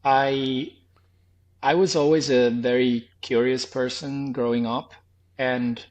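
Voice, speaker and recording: Swiss man, thirties, podcast guest, mixed accent, reflective, hesitant, lots of ums and restarts, medium pitch. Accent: Swiss, with a faint New Zealand trace. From Switzerland. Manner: thoughtful, hesitant, many restarts and "um"s. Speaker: podcast guest, former health tech startup founder. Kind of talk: reflective interview answers.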